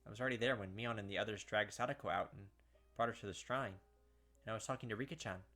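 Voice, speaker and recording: A man's voice: on the low side, fast, pushed and bright, soft background music. A man reading visual novel text aloud as a let's-play host, dropping casual, slangy asides along the way.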